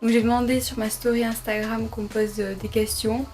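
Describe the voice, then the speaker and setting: Young woman, flat voice, hesitant answers. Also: fairly high pitch, a little fast, faint music behind. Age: young adult. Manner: hesitant, many fillers and self-corrections. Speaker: medical student vlogger. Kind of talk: casual sit-down Q&A vlog. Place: her bedroom at her parents' home.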